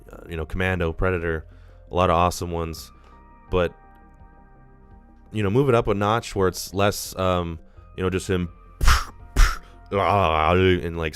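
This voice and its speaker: A very deep-voiced man rambles casually through a live movie discussion, sick and a bit nasal. His voice comes through smooth and clear over faint background music. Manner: rambling, casual, swears freely. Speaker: movie review host. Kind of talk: casual live-stream commentary.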